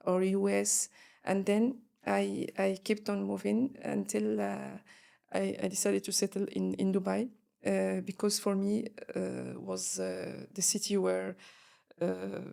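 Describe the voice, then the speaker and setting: A woman's voice: mid-range voice, gravelly, mellow. A woman from Morocco, a guest on an interview podcast, talking with many fillers and restarts.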